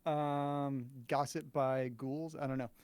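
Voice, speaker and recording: A man's voice: a little high, little pitch movement, takes it slowly, a little mellow, clean tone, no room sound. A man in his thirties hosting a live show with casual, joking banter. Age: 30s. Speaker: show host.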